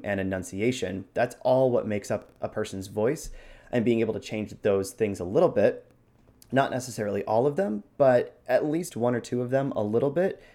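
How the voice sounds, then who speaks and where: A man with a fairly low voice talks as the host of a hobby show. He explains techniques in an informal, instructional style, with an encouraging way of putting things. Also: dark tone. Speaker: show host giving role-playing tips. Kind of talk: casual instructional chat.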